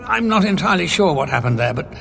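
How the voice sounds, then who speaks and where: An actor in an audio drama playing a grave, moralising alien time-traveller, arguing emphatically. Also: male voice, sits high, very animated, fast, vocal fry, a bit bright, heavily acted. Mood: indignant. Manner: emphatic, argumentative. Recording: dramatic music underneath.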